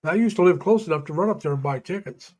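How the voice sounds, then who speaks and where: A middle-aged man with a slightly raised pitch talks to his live-stream viewers over a home mic. He is folksy and rambling, laughs easily, and halts on plenty of uhs. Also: quick pace, darkish tone. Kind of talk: casual chat reading viewer comments. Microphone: home webcam or computer mic.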